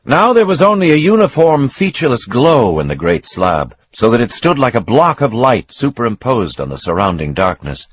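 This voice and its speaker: A man giving a scripted, dramatic reading of a novel as narrator, expressive with swelling emphasis and a husky voice. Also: mid-range voice, moderate pace, hardly any pauses, no echo.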